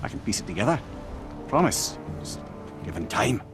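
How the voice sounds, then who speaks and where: An actor playing a wise, witty and boastful captive sage in a video game, speaking in a wry, theatrical way. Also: male voice, lowish voice, very animated, moderate pace, over music. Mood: wry, explaining. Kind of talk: dramatic game acting.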